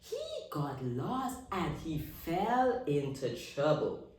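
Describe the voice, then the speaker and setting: A woman reading a picture book aloud to young children in an expressive way, asking her listeners questions and pausing for drama. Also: low-pitched. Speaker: children's read-aloud storyteller. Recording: echoey room, distant microphone.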